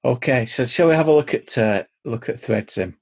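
A man's voice: mid-range voice, expressive, normal speed, vocal fry, darkish tone, slightly rough, no echo, clean studio sound. A middle-aged man, explaining thoughtfully on a podcast, with plenty of ums. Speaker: podcast co-host. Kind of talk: casual chat.

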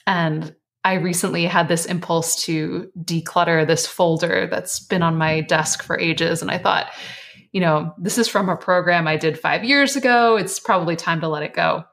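A woman author and life coach, earnest and reflective as a guest, her voice slightly hoarse. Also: lowish voice, normal speed, fairly bright tone. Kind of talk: casual interview chat.

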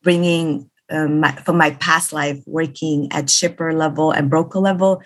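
Woman from Somalia, husky low voice, eager panelist. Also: steady tone, normal speed. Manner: eager, warm, many hesitations. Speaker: business executive, panelist. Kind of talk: self-introduction on a panel.

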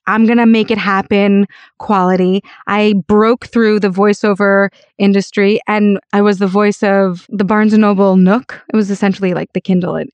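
An American woman with a raspy voice, telling personal stories emphatically and conversationally as an interview guest. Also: medium pitch, a little mellow, dry recording. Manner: emphatic, peppers talk with "like" and "you know". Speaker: interview guest, actor and voice-over artist.

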